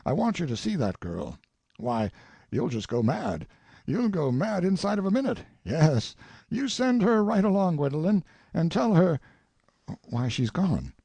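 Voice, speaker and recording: A man's voice: on the high side, huge pitch swings, normal speed, gravelly, mellow, slightly rough, no echo, pitched above the performer's own voice, heavily acted. A man doing an effusive rambling old colonel. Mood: delighted. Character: boastful eccentric older male colonel. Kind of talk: audiobook character reading.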